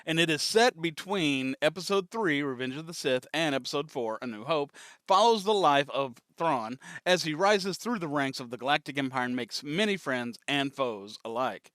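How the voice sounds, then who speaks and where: Casual man, clear high-set voice, close and dry. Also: lively intonation, normal speed, fairly bright tone, dry recording. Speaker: host of a reaction show. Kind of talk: casual reaction commentary.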